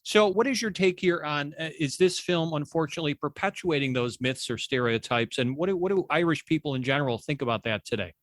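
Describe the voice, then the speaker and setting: A man's voice: on the high side, fairly quick, hardly any pauses, no room sound. Male podcast host, dry sound. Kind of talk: conversational interviewing.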